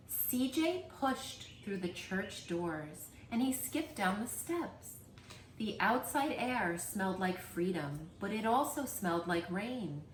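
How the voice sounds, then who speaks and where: A woman reading a children's story aloud as a library storyteller, in a measured storytelling manner. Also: mid-range voice. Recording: roomy, distant mic, faint background noise.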